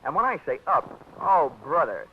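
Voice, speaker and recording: A man's voice: on the high side, big pitch swings, normal speed, slight background noise. An actor playing a hard-boiled male insurance investigator who narrates in a wry, clipped, wisecracking style in a 1940s radio drama. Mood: scheming. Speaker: lead and narrator. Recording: dull old radio broadcast with music.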